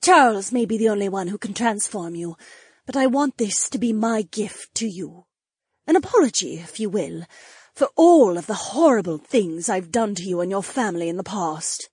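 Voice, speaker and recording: A woman playing a gentle, earnest, formal noblewoman with a breathy voice in a fantasy audiobook. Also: mid-range voice, lively intonation, normal speed, dry recording, clean studio sound. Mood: earnest, remorseful. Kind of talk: fantasy audiobook character acting.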